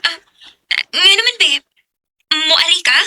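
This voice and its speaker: A woman's voice: extremely high pitch, lively intonation, takes it slowly, noisy background, full character voice. A woman playing a coy, sweet, teasing young girlfriend in a Cebuano radio drama, with a Cebuano accent. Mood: hesitant.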